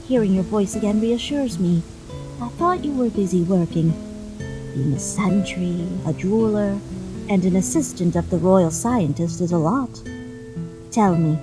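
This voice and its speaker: A woman's voice: medium pitch, lightly acted. A woman voicing a caring, motherly female monster in a comic dub, speaking politely and formally like a soothing storyteller. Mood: gentle, reassuring. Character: gentle, caring, courteous motherly female monster behind a door. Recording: music behind the voice.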